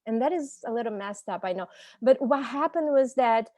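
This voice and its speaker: A woman's voice: on the high side, expressive, moderate pace, darkish tone, dry recording, clean studio sound. A woman from Brazil with a Brazilian Portuguese accent, speaking as a panelist and language teacher on a video call, reflective and searching for her words.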